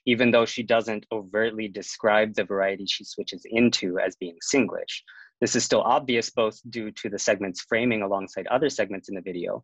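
A man presenting a prepared academic talk over a video call, in a measured reading manner. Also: fairly low pitch, no echo. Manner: measured, reads from prepared notes. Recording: dull, dry lo-fi sound.

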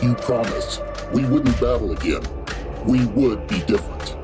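An actor playing a defiant, principled warrior brother in an audio drama, firm, earnest and restrained. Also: male voice, mid-range voice, unhurried, close to an everyday voice. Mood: reproachful. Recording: loud music under the voice.

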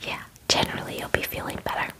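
A woman in her twenties voicing a gentle, attentive werewolf spa groomer in a soothing ASMR roleplay, whispering breathily and softly repeating words with hesitant pauses. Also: lightly acted. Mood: soothing, reassuring. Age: 20s. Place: small dim indoor room. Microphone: close microphone, facing camera.

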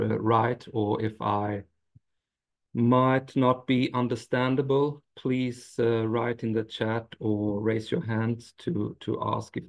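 A man's voice: fairly low pitch, vocal fry. A man in his forties from Sweden with a Swedish accent, giving a careful academic talk over a video call with many hesitations. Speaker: guest speaker.

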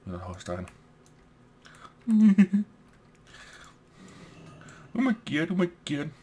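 A young man with a Danish accent, a native Danish speaker, giving casual let's play commentary, excitable, swearing and laughing at the game as he plays. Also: fairly high pitch, slow pace, slightly rough. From Denmark.

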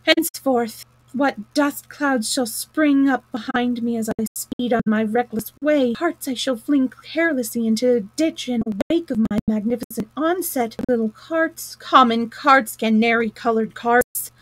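A woman's voice: on the high side, unhurried, dry recording, low-quality recording. A woman playing a vain, dreamy male storybook toad who speaks in a rapturous, grandiose, exclamatory manner.

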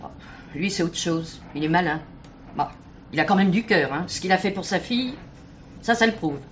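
A woman's voice: on the low side, lively intonation, moderate pace, slight background noise, natural voice. An actress playing a plain-spoken, gossipy, indignant working-class woman giving testimony in colloquial, insinuating French radio drama style. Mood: scornful, knowing. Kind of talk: French radio play acting.

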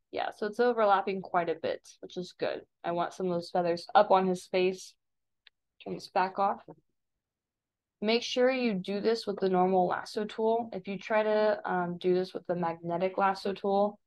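A woman's voice: medium pitch, highs cut off. An American woman with a mid-range voice is giving a casual screen tutorial. She instructs informally, narrating her actions one step at a time as she works. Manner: informal, instructional, talking through each action as it is done.

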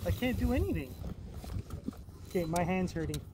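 Male fishing vlogger, cocky and teasing, outdoors on a lake boat with loud wind noise. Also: high-pitched, very animated, normal speed. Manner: cocky, joking, hypes catches loudly. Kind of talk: casual teasing banter. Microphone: action camera on the boat. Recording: noisy outdoor boat audio. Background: wind and water noise.

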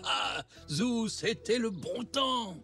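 An older man playing a kindly, cheerful zebra grandfather in a children's cartoon, reassuring and animated. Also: high voice, slow, gravelly, music in the background, full character voice. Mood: nostalgic. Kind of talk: French children's cartoon acting.

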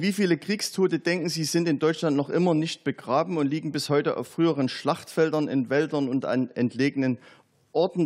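A man's voice: fairly high pitch, darkish tone. A man member of parliament who politely puts a series of questions to an expert witness in a committee.

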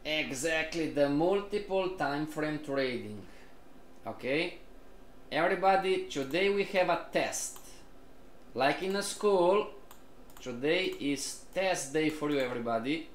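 A man's voice: fairly high pitch, expressive, measured pace, roomy sound, a little hiss or hum. A man teaching a live online trading class, repeatedly questioning and prompting his students as a group. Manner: repetitive, questioning, addresses the group often.

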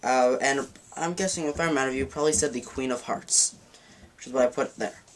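A man talks through a card trick while handling the deck, casual and a bit rambling, often restarting his sentences. His voice has a middle pitch, and the sound comes from a camera mic above a dark table. Faint noise and card clicks sit behind him. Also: brisk. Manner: rambling, self-correcting, demonstrating while talking. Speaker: magic teacher. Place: dim room at a dark table.

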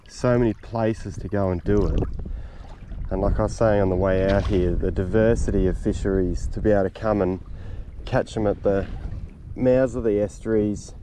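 A man in his thirties with an Australian accent, hosting a fishing show and chatting casually while wading a sand flat outdoors. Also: fairly low pitch, background noise. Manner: relaxed, enthusiastic, drawn-out praise. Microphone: camera-mounted mic, selfie and chest cam.

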